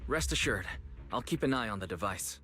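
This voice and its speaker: A man voicing a calm, dependable and friendly young adventurer in a video game. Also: lowish voice, fairly quick, faint music behind. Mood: reassuring.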